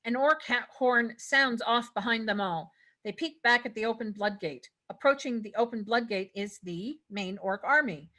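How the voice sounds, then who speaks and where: A woman's voice: on the high side, moderate pace, pushed and bright. A woman reading stage directions as scripted narration at a table read.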